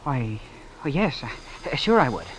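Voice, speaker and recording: A man's voice: medium pitch, very animated, moderate pace, fairly bright tone, slight background noise, poor audio. An actor playing an earnest, bashful small-town young man, hesitant and stammering, in old-time radio drama style.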